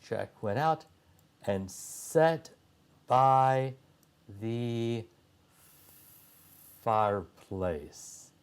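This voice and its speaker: A man reading a children's story aloud as a narrator, phrasing deliberately with frequent pauses. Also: medium pitch, clear, resonant tone.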